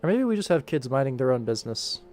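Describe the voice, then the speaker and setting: A man's voice: mid-range voice, sing-song, quick pace, a little mellow, soft background music. A young man rambling casually as he hosts a live work stream and talks through his animation work. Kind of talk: casual rambling livestream commentary while working.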